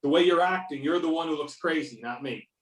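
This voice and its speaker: A man's voice: on the high side, a little fast, natural voice. A man playing a sardonic, confident FBI agent in an amateur readers-theater performance, with pointed questioning. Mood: needling, stern. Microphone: videoconference or conference call. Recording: roomy echo, distant microphone.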